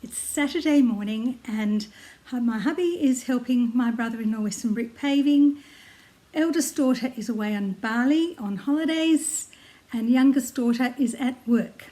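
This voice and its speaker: An older Australian woman with a slightly croaky voice, chatting casually to camera from home about her knitting, with frequent ums and small laughs. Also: fairly high pitch, moderate pace, dark tone. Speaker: knitting vlogger. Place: at home in her lounge room, seated. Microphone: tablet camera close by.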